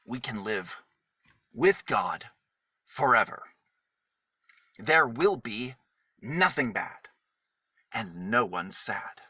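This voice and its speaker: A man reads a story to children with very lively, swooping intonation. He takes it slowly, phrase by phrase, pausing for emphasis. His voice is bright and the sound is close and dry. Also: mid-range voice, breathy edge, no room sound. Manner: dramatic, deliberate phrasing with emphatic pauses. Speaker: storyteller reading a children's book. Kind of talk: expressive read-aloud story for children.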